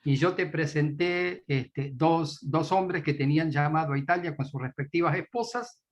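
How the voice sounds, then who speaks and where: A man's voice: a little high, normal speed. A man with an Argentine Spanish accent introducing a guest at a church meeting, hesitant, with many fillers. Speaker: meeting host.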